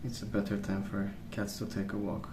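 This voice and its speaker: Soft-spoken man, ASMR rambling, lowish pitch, hesitant hushed delivery, distant mic with noticeable noise. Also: flat delivery, moderate pace, reverberant. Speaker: ASMR video host.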